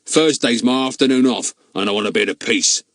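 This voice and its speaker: A surly, grasping middle-aged gatekeeper grumbles and snaps in a gruff, rough voice, put on by a man reading aloud. The sound is close and dry. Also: mid-range voice, brisk, fairly bright tone, no echo, voice pushed up, close to an everyday voice. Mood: grumbling. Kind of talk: audiobook character voice.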